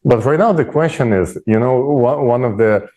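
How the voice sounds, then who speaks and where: Mellow man. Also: medium pitch, normal speed. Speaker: panel speaker. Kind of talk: conversational explaining on an online discussion.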